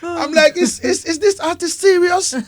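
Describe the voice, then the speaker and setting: A man hosting a casual interview who reacts with excitement, laughs easily, and acts out the quotes he retells. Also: very high voice, normal speed, slight background noise. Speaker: interview host.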